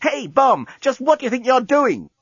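A man voicing a brisk, indignant young woman lawyer in a comic sci-fi audiobook, exclamatory, firm and urgent. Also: sits high, sing-song, fairly bright tone, husky, dry recording, lo-fi sound, voice pushed up, heavily acted. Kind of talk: comic science fiction audiobook.

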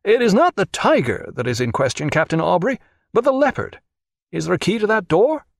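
A man voicing an officious, excitable interrogator with a pompous, fussy, formal manner in an audiobook. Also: sits high, a little fast, vocal fry, no echo, studio quality, voice pushed up, full character voice. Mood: alarmed, officious.

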